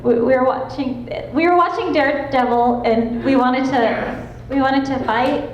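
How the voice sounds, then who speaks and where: A young woman voice actress chatting and laughing on a convention panel, with a self-described soft voice. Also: high voice, moderate pace, dull audio. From Los Angeles, California. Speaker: guest voice actress. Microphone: handheld panel mic. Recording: echoey room, clear background noise.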